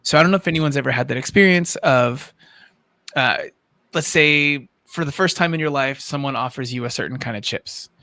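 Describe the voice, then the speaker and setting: A man's voice: medium pitch, a little fast, bright, forceful tone, no room sound. A man hosting a livestream, explaining his points at length with many qualifications in a casual discussion style. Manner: explanatory, long-winded, qualifying. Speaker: livestream host.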